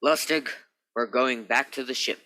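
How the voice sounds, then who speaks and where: Guy doing a bossy stern space captain for a radio play, sharp tense voice, dry recording. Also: medium pitch, steady tone, moderate pace, no echo, lightly acted. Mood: stern, alarmed. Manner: commanding, insistent. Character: stern, logical spaceship captain, bewildered and commanding. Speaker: actor in a student radio play.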